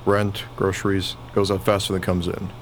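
A man's voice: low-pitched, monotone, a little fast, natural voice. A man playing a wry, grumbling, baffled working husband who is short of money, in a radio play. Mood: gloomy. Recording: noisy recording, vehicle-like ambience.

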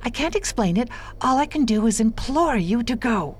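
A woman as an earnest, high-voiced scientist. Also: animated, moderate pace, breathy edge. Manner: formal, articulate, earnest. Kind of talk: science fiction radio drama acting.

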